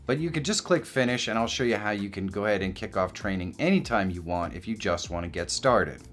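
A man hosting a software tutorial, explaining things step by step as narration. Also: lowish voice, lively intonation, brisk. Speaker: tutorial host. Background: background music.

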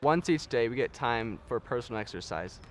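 A man's voice: medium pitch, animated, a little fast, heavy background noise. Earnest young man from Texas. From Texas, USA. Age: young adult. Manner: earnest, reflective. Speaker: missionary. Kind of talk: interview.

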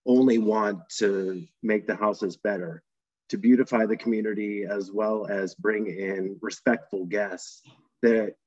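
A man's voice: lowish voice, steady tone, a little slow, darkish tone, no echo. A man who runs short-term rentals, making a plain public comment full of fillers on a call. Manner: plain, filler-heavy, clears throat. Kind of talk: public comment, spoken loosely. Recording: dry, dull call audio.

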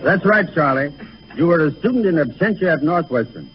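A man's voice: on the high side, lively intonation, a little fast, a faint crowd. Courteous male academic for 1930s radio comedy, formal delivery, muffled recording with light noise. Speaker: guest academic presenting a degree.

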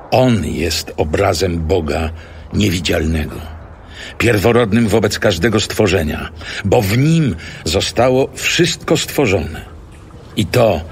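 Very deep, slow male scripture reader. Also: slight background noise. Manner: measured, reverent phrasing. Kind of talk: solemn dramatized scripture reading.

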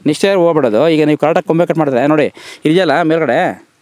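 A man walking a farm outdoors, brisk and directive as he gives practical pruning advice and asks questions. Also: a little high, a little mellow, no echo. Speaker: interviewer and farming advisor. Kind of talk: advisory interview on a farm walk.

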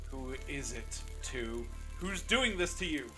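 Man acting a bright, accusing interrogator. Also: medium pitch, very animated, takes it slowly, soft background music, exaggerated voice. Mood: insistent. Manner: pointed, sardonic, menacing. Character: suspicious, accusing male interrogator, coldly insistent. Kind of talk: audio drama acting.

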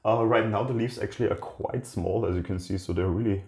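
A man hosting a casual plant tour in his kitchen, talking in a relaxed, rambling way with fillers and restarts. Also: fairly low pitch, fairly quick. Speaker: plant channel host. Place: indoor kitchen by a windowsill. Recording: echoey kitchen room.

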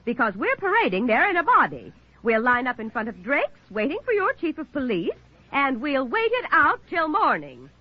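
A woman's voice: high-pitched, wildly swooping pitch, normal speed, no room sound. An actress playing a resolute small-town woman protester, emphatic and defiant, in 1940s radio style. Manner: emphatic, declamatory. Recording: dull, dry 1940s radio recording.

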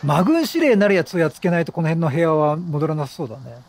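A man giving casual video game commentary, chatty and jokey, reacting out loud with exclamations. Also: fairly high pitch, expressive, fast talker, noisy background. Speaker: game streamer.

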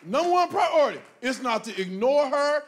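A middle-aged man, a pastor talking to his congregation from a church stage into a handheld mic. He jokes and preaches in a lively way, often breaking into loud, emphatic exclamations. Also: extremely high pitch, moderate pace, some chatter behind. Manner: animated, joking, bursts into loud emphatic exclamations. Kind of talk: preachy conversational talk to a congregation.

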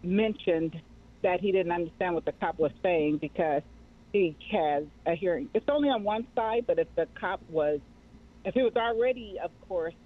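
A woman's voice: on the low side, moderate pace. A middle-aged woman with an American accent, talking conversationally as an interview guest. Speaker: guest, mental health nonprofit director. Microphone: remote call. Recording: narrow tinny radio-like call audio.

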